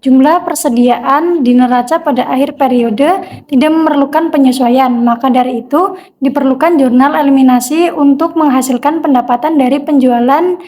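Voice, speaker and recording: A woman's voice: sits high, normal speed, a little mellow. A young woman reading a prepared lesson as a student presenter. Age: young adult. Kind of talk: scripted lesson narration read aloud.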